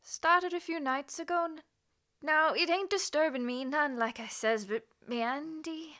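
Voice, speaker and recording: Feisty policewoman neighbor, radio drama. High, sharp voice, garrulous and colloquial. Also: measured pace. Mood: explaining. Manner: folksy, garrulous, colloquial, chuckling. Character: chatty, nosy, feisty Irish-American policewoman neighbor. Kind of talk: radio play acting.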